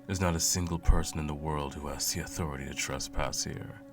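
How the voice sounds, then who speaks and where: A man playing a cruel, smug, all-powerful sorcerer in an ASMR roleplay, with a slow, condescending, menacing drawl and a gravelly voice. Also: very deep voice, steady tone. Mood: haughty, menacing. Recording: music playing under the voice. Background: music.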